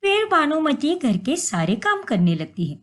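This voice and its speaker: A woman tells a scripted story as its narrator. Her voice sits high. The delivery is very animated, with wide swings in melody. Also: a little slow.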